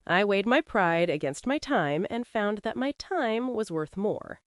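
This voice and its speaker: A woman narrating a first-person western audio drama as a proud, capable frontier ranch woman, with a dry, wry, measured delivery. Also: mid-range voice, expressive, no echo.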